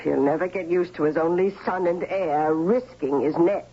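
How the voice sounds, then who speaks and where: An actor playing a fussy, worried confidant, wry and scolding, in a 1970s radio drama. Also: male voice, on the high side, normal speed. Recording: dull, husky-sounding old radio broadcast.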